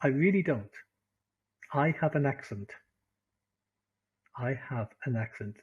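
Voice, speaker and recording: A man with a Welsh accent teaching an online English class, animated, laughing often and repeating phrases for emphasis. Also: medium pitch, slow, husky, dull audio. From Wales.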